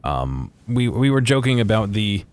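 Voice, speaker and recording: Low-pitched man giving casual game commentary. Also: big pitch swings, moderate pace, darkish tone, smooth. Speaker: gaming video commentator.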